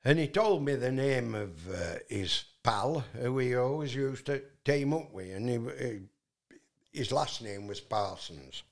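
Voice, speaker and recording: A man's voice: mid-range voice, animated, a little slow. A man from England, telling a long, rambling story as a guest and often restarting with fillers. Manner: loud voice, many fillers and restarts.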